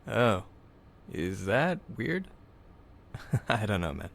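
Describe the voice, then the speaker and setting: Slow, gravelly laid-back young dude. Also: male voice, on the low side, sing-song, fairly bright tone, full character voice. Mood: casual, perking up. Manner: drowsy, nonchalant, slangy. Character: laid-back, groggy young rich guy, nonchalant cool dude. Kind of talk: visual novel game voice acting. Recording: music playing behind.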